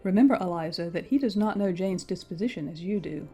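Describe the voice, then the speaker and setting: A woman playing a pragmatic, worldly young gentlewoman in an audiobook drama, advising in measured, matter-of-fact period diction. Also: on the low side, expressive, soft background music. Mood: measured persuasion.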